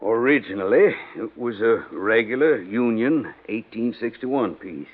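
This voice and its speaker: A muffled old radio recording of a fat, wealthy older Southern man. He speaks slowly in a lowish voice. He is proud and quick-tempered, folksy one moment and commanding the next. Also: lively intonation, husky. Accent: American South. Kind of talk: 1950s radio drama acting.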